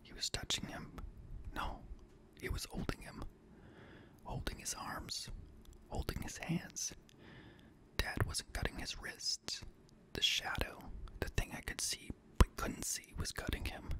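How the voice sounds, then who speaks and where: A young man reading scary stories in a hushed, breathy ASMR whisper, gently, close to a studio microphone. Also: measured pace, poor audio. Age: young adult. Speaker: host and reader of scary stories. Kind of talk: whispered ASMR horror story narration. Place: small indoor home studio room. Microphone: close boom-arm studio microphone.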